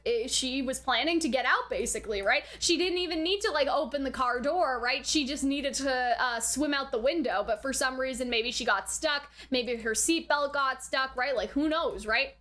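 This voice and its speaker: A woman's voice: sits high, sharp tone. A woman hosting a reaction video, giving casual, speculative commentary in a chatty, informal way that often checks in with tag questions.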